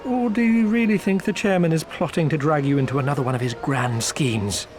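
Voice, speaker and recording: An actor playing a theatrical, slyly obliging merchant in a video game, with florid, overly courteous, roundabout speech. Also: male voice, fairly high pitch, fairly quick, noisy background, close to an everyday voice. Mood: mock contrite, teasing. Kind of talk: dramatic video game voice acting. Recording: music behind the voice.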